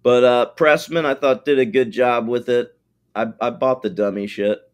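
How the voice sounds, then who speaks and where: Profane, animated podcast co-host man. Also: medium pitch, normal speed, clean tone. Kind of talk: casual podcast chat.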